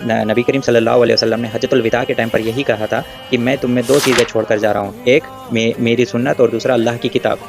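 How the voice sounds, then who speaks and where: A man's voice: mid-range voice, fairly even intonation, quick pace, vocal fry, few pauses, over music. A man giving an argumentative religious rebuttal, addressing his opponent directly in a taunting, confrontational way.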